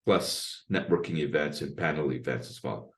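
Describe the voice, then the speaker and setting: A man in his sixties with a deep bass voice, talking at length as an interview guest and explaining his approach point by point. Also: normal speed. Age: 60s. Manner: talkative, explains with lists and asides. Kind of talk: casual interview talk.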